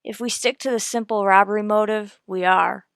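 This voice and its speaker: A woman's voice: medium pitch, fairly even intonation, normal speed, gravelly, a bit bright, smooth, dry recording, clean studio sound. A woman playing a dogged, businesslike police detective, narrating her case in a terse, matter-of-fact radio drama style. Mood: guarded, matter-of-fact.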